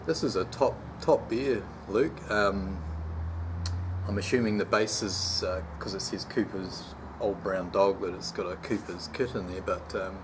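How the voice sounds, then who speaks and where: A man in his forties with a somewhat low voice, talking easily into a nearby camera mic on an outdoor patio. His manner is relaxed and chatty, with lots of "um" pauses. Clear background noise and outdoor ambience sit under the voice. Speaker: homebrew channel host. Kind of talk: casual beer review vlog. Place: sunny backyard patio, outdoors. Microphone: camera mic at close range, later handheld while walking.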